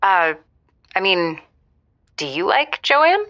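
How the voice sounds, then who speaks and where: A woman's voice: medium pitch, big pitch swings, takes it slowly, sharp tone, exaggerated voice. An actress playing a candid, skeptical woman caller in a naturalistic audio drama, conversational and probing. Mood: gently probing. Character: candid, skeptical woman caller gently challenging her date.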